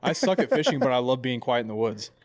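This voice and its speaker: A young man in his late twenties chats on a podcast mic in a lodge. He rambles and jokes, stops mid-phrase and corrects his own words, and his pitch sits in the middle range. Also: very animated, fast, dry recording, clean studio sound. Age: 20s. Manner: rambling, self-correcting, jokey. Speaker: podcast host. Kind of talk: casual podcast chat.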